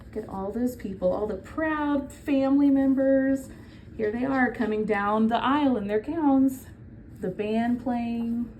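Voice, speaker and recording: A woman's voice: a little high, unhurried, a little mellow, a little hiss or hum, poor audio. A woman reading a children's book aloud like a teacher, explaining simply and prompting her listeners with questions.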